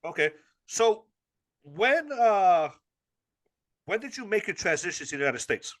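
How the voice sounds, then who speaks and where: A man's voice: sits high, very animated, a little slow, a bit bright. A man hosting a boxing interview show, asking questions in an enthusiastic, chatty way. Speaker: interview host.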